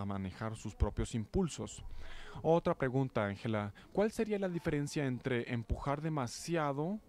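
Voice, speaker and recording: A man working as a live interpreter of a talk, phrasing haltingly and correcting himself. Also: medium pitch, a little mellow. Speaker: simultaneous interpreter.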